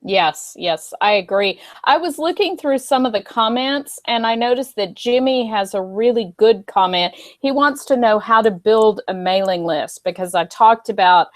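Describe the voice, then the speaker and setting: A woman's voice: medium pitch, normal speed, few pauses, dry recording, clean studio sound. A woman guest author giving earnest, practical advice in a live online panel chat, with frequent ums.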